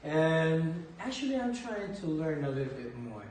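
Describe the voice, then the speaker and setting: A man in his thirties from Ecuador, a teacher answering interview questions in a relaxed, hesitant way, often repeating words as he thinks. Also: fairly high pitch, normal speed. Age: 30s. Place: school library with bookshelves, indoors. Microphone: far camera across a desk. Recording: echoey room from a distance, faint noise.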